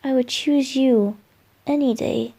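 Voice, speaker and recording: A gentle, boyish young spirit in love, played by a woman, with a high, mellow voice. He murmurs softly and hesitantly, in the intimate style of a romantic ASMR roleplay. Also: heavily acted. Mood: tender, adoring. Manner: soft, tender, breathy murmurs with hesitant pauses.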